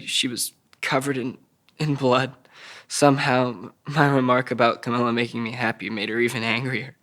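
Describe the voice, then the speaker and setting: Man acting a troubled, halting, childlike young man. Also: medium pitch, a bit bright, natural voice. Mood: frightened, haunted. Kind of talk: audio drama table read.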